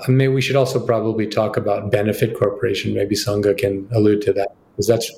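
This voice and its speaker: A man, a company co-founder, talking in an interview in a businesslike, sales-pitch manner with frequent fillers. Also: level tone, quick pace, creaky, darkish tone, echoey room. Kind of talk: interview answers.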